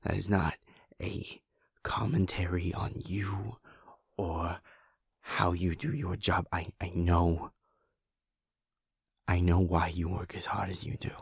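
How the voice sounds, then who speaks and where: A man talking softly and hesitantly to a partner in an intimate audio roleplay, pausing with sighs and restarts. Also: deep voice, little pitch movement, gravelly, slightly rough, no room sound, studio quality.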